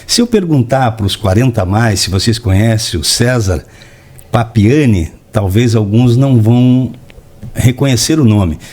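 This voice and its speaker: A man's voice: on the low side, darkish tone. Friendly male podcast host. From Blumenau, southern Brazil. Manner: friendly, admiring, with frequent tag questions. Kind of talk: casual podcast interview.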